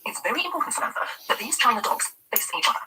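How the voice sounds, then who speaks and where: A woman voicing a fussy, imperious middle-aged woman who orders her housekeeper about in a shrill, haughty way. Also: big pitch swings, racing, sharp tone, distant mic, a little hiss or hum, full character voice, rough recording. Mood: condescending. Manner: haughty, fretful, bossy.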